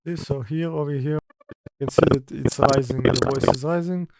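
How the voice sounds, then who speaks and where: A man giving a casual software tutorial, hesitant and thinking aloud as he works. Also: mid-range voice, steady tone, brisk, gravelly, heavy background noise. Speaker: tutorial presenter. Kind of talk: casual software tutorial, talking through steps.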